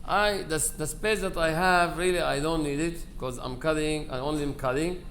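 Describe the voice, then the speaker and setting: Guy, bit high voice, rabbi doing a chatty eulogy w/ quoted back and forth, noisy live recording. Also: moderate pace, a bit bright. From Aleppo, Syria. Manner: conversational storytelling, acting out quoted dialogue, broken English grammar. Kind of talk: informal memorial speech with personal anecdotes. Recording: live speech with clear background noise.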